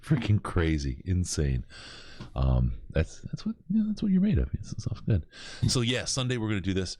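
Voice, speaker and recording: A man's voice: deep voice, huge pitch swings, normal speed, warm tone, slight background noise. A middle-aged man from Michigan with a Michigan accent, hosting a podcast in casual, rambling chat with lots of restarts. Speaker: podcast host. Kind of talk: casual charity stream chat.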